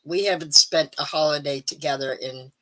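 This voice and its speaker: A middle-aged woman hosting a live stream, chatting casually and rambling with ums, a little hoarse. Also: low-pitched, normal speed, sharp tone, rough recording. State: slightly hoarse. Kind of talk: casual chat to viewers.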